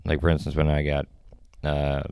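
A man's voice: rumbling low, monotone, moderate pace, darkish tone, smooth, dry recording, clean studio sound. A man who acts and makes films, talking casually as a podcast guest in a laid-back, rambling way and laughing often. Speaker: actor and filmmaker guest.

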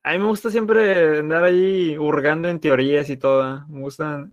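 A man's voice: fairly high pitch, fairly even intonation, normal speed, no room sound, clean studio sound. A man with a Mexican Spanish accent, chatting casually as a podcast co-host, rambling and checking in with tag questions. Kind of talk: casual chat.

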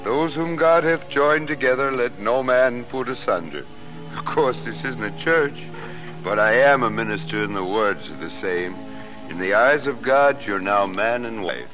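An actor playing a gentle, pious minister with a solemn manner, in old American radio drama. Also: male voice, mid-range voice, lively intonation, unhurried, close to an everyday voice. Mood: solemn, reverent. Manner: solemn, scripture-reciting. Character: gentle, pious minister turned night watchman in shantytown. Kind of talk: 1930s American radio acting. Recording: muffled old radio recording, music behind.

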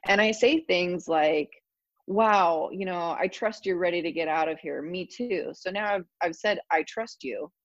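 A woman telling stories in a casual interview, animated, laughing often and filling with "like". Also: fairly low pitch, unhurried, no room sound, dull audio. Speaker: guest.

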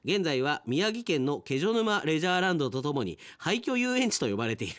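A male radio announcer in relaxed after-show mode. His pitch moves around widely and expressively as he reads out mail and adds chatty remarks of his own. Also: a little fast. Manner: chatty, commenting on the stories he reads. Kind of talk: casual podcast after-talk, reading listener mail.

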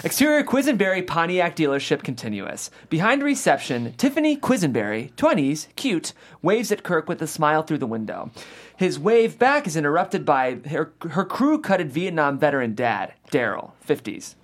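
A lively narrator reads scene directions from a comedy script. The voice has a medium pitch and moves around a lot in intonation. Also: normal speed.

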